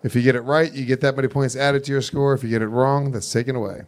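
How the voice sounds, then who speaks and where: A man hosts a comedy game show with an average pitch. He walks the players through the rules, laughing often as he goes. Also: steady tone, fast.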